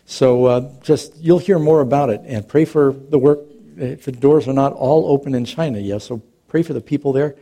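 A middle-aged man delivers a sermon as a pastor. His voice sits at an ordinary pitch but sounds mellow and warm. He talks in a relaxed, conversational way, mixing stories and humor into the preaching. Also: breathy edge.

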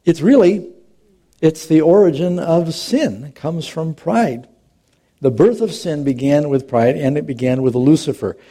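A man's voice: on the high side, lively intonation, measured pace, dark tone. A middle-aged man, a pastor, preaching a sermon in a conversational, storytelling way with touches of humor.

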